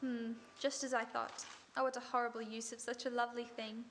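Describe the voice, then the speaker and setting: A woman playing a composed, sharp detective in an old-time radio drama, cool and in command. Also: a little high, flat delivery, fairly quick, a little hiss or hum, close to an everyday voice.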